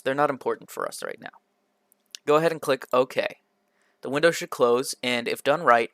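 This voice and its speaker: A man's voice: mid-range voice, a bit bright, no room sound. Man narrating a tutorial, dry close sound. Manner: instructional, step-by-step, occasionally stumbles over words. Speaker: tutorial presenter. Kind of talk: scripted software tutorial narration. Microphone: computer microphone in a quiet home room, voice-over.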